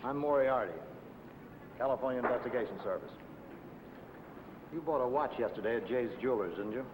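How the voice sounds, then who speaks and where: A man's voice: fairly quick, lightly acted. A man playing a blunt, condescending investigator in a 1950 film noir, leaning hard on a debtor. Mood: accusing. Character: hard-nosed, threatening male debt-collection investigator. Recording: noisy old film soundtrack, traffic rumble.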